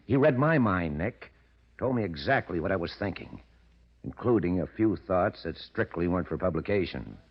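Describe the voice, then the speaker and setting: Casting: scheming mob press agent, low-pitched, insinuating and goading, old-time radio drama with dulled sound. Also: male voice, very animated, moderate pace, full character voice. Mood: scheming, persuasive. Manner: insinuating, needling, smooth-talking schemer. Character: sly, goading male press agent to a gangster. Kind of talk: 1940s radio drama acting. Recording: dull vintage radio broadcast.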